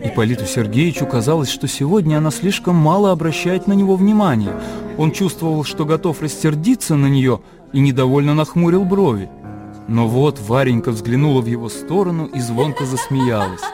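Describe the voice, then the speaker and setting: A man's voice: fairly high pitch, animated, fairly quick. A man narrating a literary radio drama as scripted storytelling. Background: faint music.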